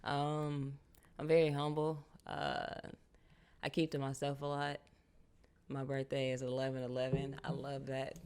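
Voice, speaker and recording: Animated young woman chatting casually. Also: rumbling low, measured pace, smooth. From United States, Charlotte. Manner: animated, casual slang, frequent "you feel me". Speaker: guest artist. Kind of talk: casual interview chat.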